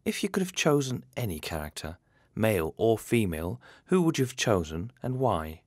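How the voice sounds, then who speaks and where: A man's voice: on the low side, very animated, normal speed, dry recording, clean studio sound. A man hosting an interview, reading out scripted questions. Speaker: interviewer and host.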